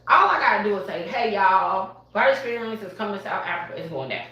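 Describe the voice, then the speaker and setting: A woman, a barbershop owner speaking on a live panel in an animated, emphatic way, often laughing. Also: medium pitch, quick pace, lo-fi sound. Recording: echoey room from far off.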